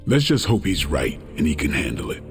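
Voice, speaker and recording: An actor playing a stern, blunt, brooding vampire-hunter superhero in a video game. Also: male voice, very deep voice, very animated, brisk, long reverb tail, over music, full character voice. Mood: grave, wary. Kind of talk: video game cutscene acting.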